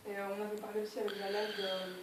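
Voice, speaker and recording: A woman's voice: mid-range voice, little pitch movement, racing. A young woman interviewing for a university course, asking questions hesitantly with many fillers. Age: young adult. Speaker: student interviewer. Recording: echoey room, voice far from mic, noisy.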